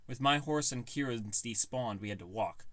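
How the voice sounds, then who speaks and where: A man's voice: medium pitch, moderate pace, fairly bright tone, no room sound. Dry, close-miked man narrating a fantasy tale. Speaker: audiobook narrator. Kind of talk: scripted first-person fantasy narration.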